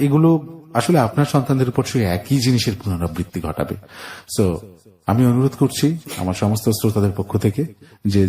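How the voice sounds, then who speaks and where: A man with a Bangladeshi accent hosts a radio show about life stories. His voice sits low and is very dark and warm in tone. He speaks earnestly and deliberately, leaving reflective pauses. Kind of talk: life-story radio interview, addressing listeners.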